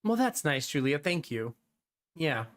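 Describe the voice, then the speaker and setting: A young adult man streaming live, chatting casually with his viewers, animated and talkative, often laughing. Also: a little high, measured pace.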